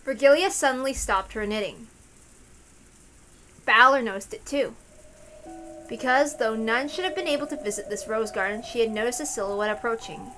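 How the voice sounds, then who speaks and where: A woman's voice: a little high, normal speed, long pauses, sharp tone, a little hiss or hum. A woman reading a visual novel aloud as a narrator, in a storytelling style. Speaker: reader of a visual novel for an audience.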